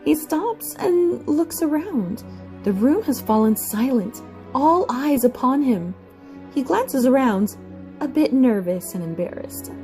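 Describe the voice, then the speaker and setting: A woman's voice: high voice, animated, takes it slowly, dark tone, rough recording. A woman giving dramatic scripted narration for an audio play, with music behind her.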